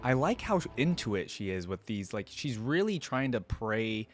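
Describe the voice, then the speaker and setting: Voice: mid-range voice, sing-song, moderate pace. A reaction host talks in a casual, conversational way, commenting on short clips. Faint music sits behind the voice.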